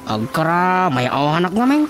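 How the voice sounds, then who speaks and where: An actor playing a naive, earnest young son with an Ilocano accent in a radio comedy-drama. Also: male voice, fairly high pitch, sing-song, moderate pace, fairly bright tone. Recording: prominent music under the dialogue.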